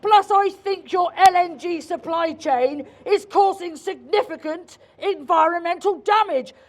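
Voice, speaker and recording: Woman as a loud, officious puppet policeman. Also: very high-pitched, measured pace. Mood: officious, accusing. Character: officious puppet policeman, special investigator into maritime crime. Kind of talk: satirical street puppet show.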